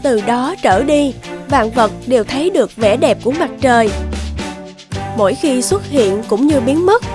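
A woman's voice: sits high, lively intonation, moderate pace, over music. A woman narrator reading a scripted fairy tale.

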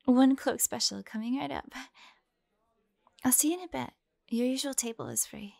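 A woman's voice: high-pitched, lively intonation, a little slow, vocal fry, warm tone, no room sound, heavily acted. A woman playing a timid, eager young waitress girl in an audio drama, sweetly earnest and hesitant, with a girlish voice.

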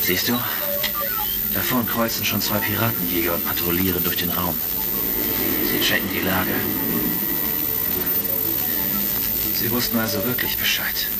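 A man's voice: sits low, normal speed, gravelly, loud music behind the voice, rough recording. A man playing a resolute, shrewd heroic space commander in a retro sci-fi audio drama. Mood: alert.

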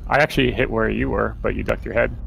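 A man's voice: lowish voice, steady tone, fast talker, gravelly, background noise. A man chatting casually with friends over voice chat while playing a mech game, with laid-back banter. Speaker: gamer playing a mech match.

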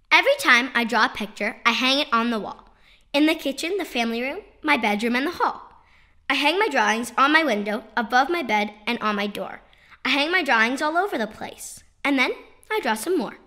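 A woman reading children's poetry aloud in an expressive, playful, sing-song and emphatic way. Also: sits high, moderate pace. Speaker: children's poetry author and reader.

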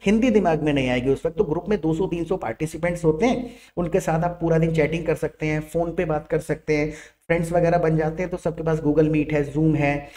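A man's voice: on the high side, a little mellow. A middle-aged man with an Indian accent, teaching English on a headset mic, explaining patiently and often checking in with listeners. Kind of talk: explanatory lesson.

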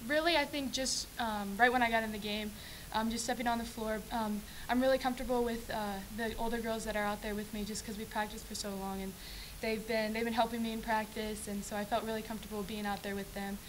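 A woman's voice: on the high side, steady tone, fast, hardly any pauses, a little hiss or hum, rough recording. A young woman, a freshman basketball player, answering post-game questions earnestly at a table mic with many ums. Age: young adult. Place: press room with sponsor backdrop. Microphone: table mic on stand.

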